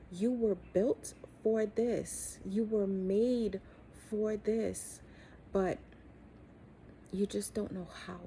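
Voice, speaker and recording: A middle-aged woman giving an earnest, encouraging, faith-based motivational talk, speaking deliberately with frequent pauses. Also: fairly low pitch, creaky, dark tone, slight background noise. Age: 40s or 50s. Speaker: coach and host giving encouragement.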